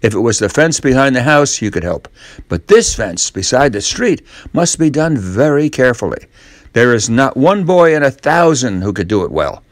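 A man's voice: mid-range voice, very animated, moderate pace, no echo, close to an everyday voice. A man voicing a sly, persuasive young boy in a storybook reading, with an emphatic, coaxing manner. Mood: earnest, sly.

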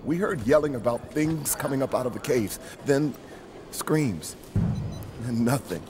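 An actor playing an injured male colonist who is shaken and distrustful, speaking haltingly. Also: medium pitch, animated, music in the background, natural voice. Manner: halting, then defiant.

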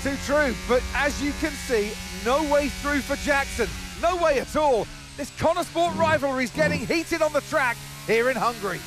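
A man as an excited motor racing commentator, calling the action urgently in a video game. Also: extremely high pitch, normal speed, hardly any pauses, music in the background. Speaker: lead race commentator. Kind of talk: live sports commentary.

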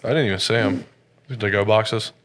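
Young dude, kinda low voice, ribbing his buddy on a podcast. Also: fairly quick, fairly bright tone, a little hiss or hum. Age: young adult. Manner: teasing, banter. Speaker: college athlete guest. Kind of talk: casual chat. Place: podcast studio on a leather couch.

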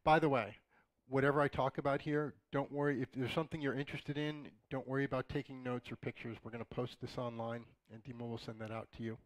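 Man, slightly raspy, middle-aged, casual lecture, dry close sound. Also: medium pitch, fairly even intonation, quick pace, no room sound, low-quality recording. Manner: casual, joking asides to the audience. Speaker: conference speaker. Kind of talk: conversational lecture. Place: dark auditorium, in front of a projected slide.

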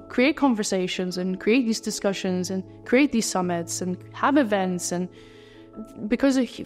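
Fast-talking Dutch woman, restarts a lot. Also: mid-range voice, a little mellow, long reverb tail. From Netherlands. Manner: fast talker who trips over words, many restarts and fillers. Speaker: interviewee. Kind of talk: casual interview answers. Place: living-room set with lamp and window, indoors.

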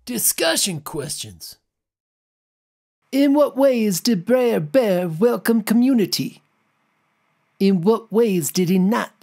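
Female educational presenter, soft dark tone, measured pace, expressive pitch. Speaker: discussion question presenter. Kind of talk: scripted educational reading.

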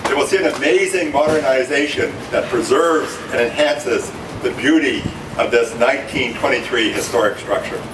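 An older man, a university chancellor, giving formal prepared remarks at a press conference. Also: mid-range voice. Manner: formal, measured delivery. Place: conference room at a stadium. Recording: echoey room from far off, noisy.

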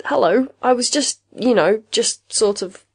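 A woman's voice: on the high side, a little slow, darkish tone, slightly rough, voice pushed up. A woman voicing a pugnacious, self-conscious male patient in an audiobook story, with an informal, blurting manner. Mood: flustered.

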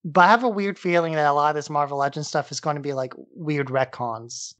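A man's voice: on the high side, brisk, a bit bright. A man co-hosting a podcast, chatting casually about comics and peppering his sentences with "like". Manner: peppers speech with "like". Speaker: podcast co-host. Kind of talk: casual comic book discussion.

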